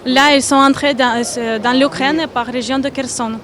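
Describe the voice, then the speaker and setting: A woman's voice: high voice, fairly even intonation, normal speed, a bit bright. A woman from Ukraine with a Ukrainian accent, answering earnestly and searching for words in French. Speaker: interviewee. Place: outdoor fair stand.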